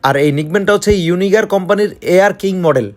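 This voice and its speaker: Very fast high-pitched Bangladeshi man. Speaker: product seller. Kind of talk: promotional product demo narration.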